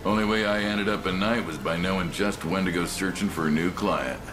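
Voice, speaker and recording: A man's voice: sits low, a little fast, noisy background, natural voice. A man playing a wry, roguish mercenary boss in a video game, talking in folksy slang full of bravado. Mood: wry, calculating. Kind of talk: video game cutscene voice acting.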